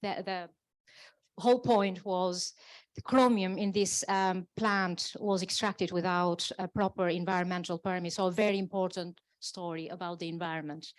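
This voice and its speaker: A woman's voice: lowish voice, fairly even intonation, normal speed, no echo. A woman presenting journalism awards, speaking earnestly with frequent hesitations. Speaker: jury member presenting awards. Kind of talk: awards announcement.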